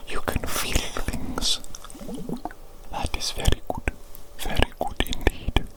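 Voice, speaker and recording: A woman's voice: takes it slowly, natural voice, rough recording. A woman playing a playfully evil doctor in an ASMR medical roleplay, whispering soothingly and repeating her words. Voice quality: breathy unvoiced whisper. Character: playfully evil doctor gently examining a big creature patient. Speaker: ASMR performer.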